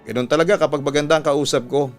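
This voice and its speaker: A man's voice: a little high, fairly even intonation, rapid-fire, faint music behind, natural voice. A man with a Filipino accent voicing a courteous, flirtatious man in his thirties in a story. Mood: flirty.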